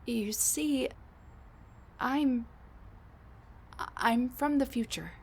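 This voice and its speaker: A woman's voice: sits high, very slow, slightly rough, exaggerated voice. A woman playing a flustered time-traveling scientist wife. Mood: flustered, pleading. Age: middle-aged. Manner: stammering, flustered, many fillers and restarts; hushed muttering to herself. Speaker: audio roleplay performer. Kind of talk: audio roleplay drama.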